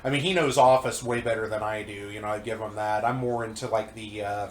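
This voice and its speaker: A man's voice: on the low side, steady tone, fairly quick, roomy sound, slight background noise. A congested man rambling casually about old games, with many fillers and restarts. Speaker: co-host reviewing old magazines. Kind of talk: casual chat.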